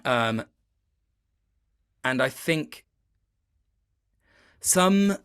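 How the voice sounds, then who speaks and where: A man's voice: mid-range voice, animated, very slow, a bit bright, clean tone. A man giving a casual, reflective interview as a guest, speaking thoughtfully and often rephrasing as he searches for words.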